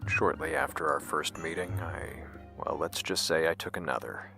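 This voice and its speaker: A man playing a grave scientist in scripted audio drama dialogue, speaking deliberately with hesitant pauses. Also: rumbling low, vocal fry. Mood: grave, confessional. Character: grave, secretive male scientist and his questioning double. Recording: music under the voice. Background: music.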